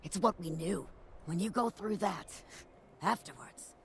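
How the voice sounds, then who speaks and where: A woman's voice: mid-range voice, measured pace, slight background noise, lightly acted. An actress voicing a tough, blunt ghoul woman from Pittsburgh who survived the bombs as a factory worker, talking in a wry, plain-spoken way. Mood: somber. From Pittsburgh, USA. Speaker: game character. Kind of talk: video game dialogue.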